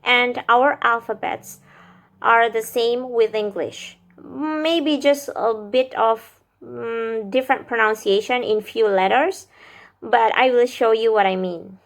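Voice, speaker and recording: A woman in her thirties with an Indonesian accent, a vlogger musing aloud about learning a language, recorded at arm's length in a small room. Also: fairly high pitch. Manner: slow, thoughtful, musing aloud with hesitations. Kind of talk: casual language-learning vlog. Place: small indoor room with lamps behind. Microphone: camera or phone at arm's length.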